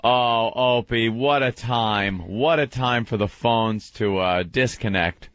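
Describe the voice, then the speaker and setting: A man's voice: medium pitch, slow, background noise. A man co-hosting a radio talk show, making wry remarks in casual banter. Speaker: radio co-host.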